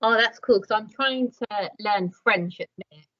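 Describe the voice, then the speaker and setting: A woman's voice: medium pitch, normal speed, no echo. A woman moderating a school video-call discussion, asking questions in a friendly, encouraging way. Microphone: laptop microphone on a video call. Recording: dull lo-fi laptop audio.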